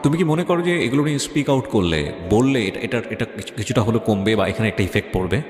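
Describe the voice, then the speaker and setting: Fast-talking Bangladeshi man, echoey radio interview. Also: mid-range voice, lively intonation. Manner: mixes English phrases into his talk. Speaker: radio show host. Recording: echoey with long reverb, music behind.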